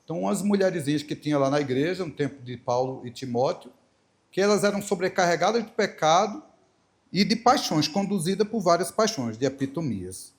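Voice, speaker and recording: A middle-aged male preacher with a Paraíba accent and a fairly high voice. He tells homely stories with playful asides. He hammers his points home through emphatic repetition. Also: lively intonation, brisk. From Paraíba, Brazil. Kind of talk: church talk with Bible reading.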